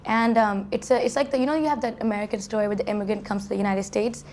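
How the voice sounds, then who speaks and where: A woman's voice: mid-range voice, steady tone, racing, darkish tone, a little hiss or hum. A young woman who grew up in Saudi Arabia, answering interview questions in a chatty way, full of restarts and "like" fillers, in a university dorm. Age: young adult. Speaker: college student guest.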